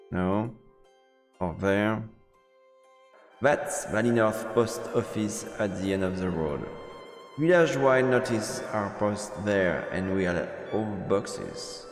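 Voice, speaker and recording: A man's voice: fairly low pitch, lively intonation, takes it slowly. A man streaming a let's play, playfully commenting and reading game text aloud. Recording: roomy echo with long reverb, game music. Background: game music.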